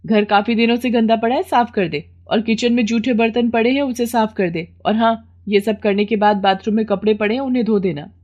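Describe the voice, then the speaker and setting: A haughty, sharp-tongued mistress of the house, put on by a woman in a storybook tale. She scolds and orders in a bossy way. The recording is slightly muffled. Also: a little high, a little fast, few pauses, voice pushed up, close to an everyday voice. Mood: scornful, bossy.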